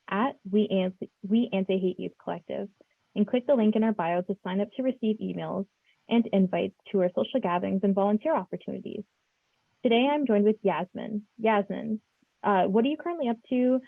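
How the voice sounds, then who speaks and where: A young woman hosting a casual podcast chat, talking in a relaxed way with lots of fillers. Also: medium pitch, fairly quick, creaky, no room sound, phone-quality sound. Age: young adult. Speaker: podcast host.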